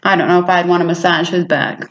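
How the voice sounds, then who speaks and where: A woman reading a picture book aloud to children like a teacher, with an animated, dramatic delivery and casual asides. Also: fairly low pitch, quick pace, slight background noise. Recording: echoey room, slightly muffled sound.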